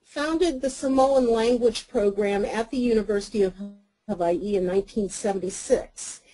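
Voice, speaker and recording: A woman moderating a webinar, reading speaker introductions in a careful, measured way. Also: fairly high pitch, warm tone, low-quality recording.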